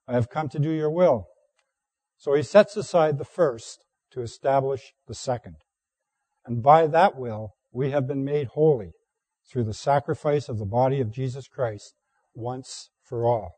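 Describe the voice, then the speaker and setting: A hoarse man preaching a sermon, reading scripture aloud at a measured, deliberate pace. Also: mid-range voice, warm tone, no room sound.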